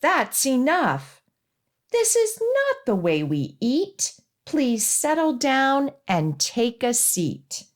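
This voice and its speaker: Woman acting out an exasperated, scolding schoolteacher, swooping pitch. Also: huge pitch swings, drawn-out words, full character voice. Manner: emphatic, indignant.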